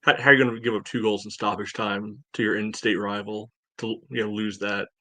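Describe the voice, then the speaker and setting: A man with a fairly low voice co-hosts a casual sports podcast. He talks in a halting, conversational way, and the sound is dry, with no room echo. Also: level tone, bright, forceful tone. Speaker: podcast co-host.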